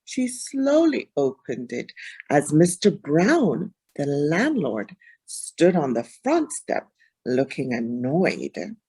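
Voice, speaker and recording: A woman tells a children's story in a husky, slightly low voice. Her reading is unhurried and expressive, with very lively intonation. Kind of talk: expressive storybook read-aloud.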